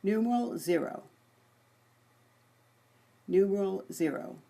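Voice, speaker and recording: A woman with a husky voice presenting an informal software demonstration. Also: medium pitch, slow. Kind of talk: dictating voice commands to software.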